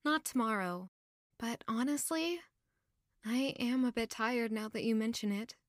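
A woman's voice: a little high, unhurried, dry recording, studio quality, natural voice. A woman playing an earnest, modest young working woman who can be playfully bold, in an intimate audio drama. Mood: wondering, tired. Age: young adult.

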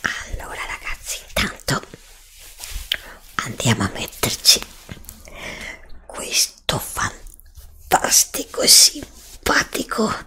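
A woman, softly whispering a skincare review for ASMR close to a desk mic. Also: low voice, huge pitch swings. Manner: soft whispering, slow and hesitant. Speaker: ASMR creator. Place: home studio with green screen. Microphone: studio desk mic, close.

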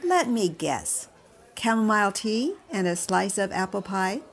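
A woman's voice: mid-range voice, very animated, unhurried. A woman playing a friendly, familiar diner waitress in an audio drama.

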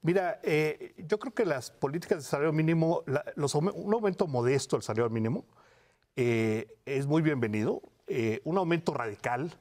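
A man, explaining as a studio guest in a halting, self-correcting way. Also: a little high, animated, a little mellow. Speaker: academic researcher, studio guest. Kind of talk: televised panel interview, explanatory. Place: television studio panel desk. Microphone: lapel.

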